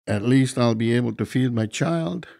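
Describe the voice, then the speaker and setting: An actor playing a young, wry highway cop in an audiobook, gravelly and colloquial. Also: male voice, moderate pace, mellow, dry recording, clean studio sound, natural voice. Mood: sly, angling. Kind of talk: dramatized audiobook dialogue.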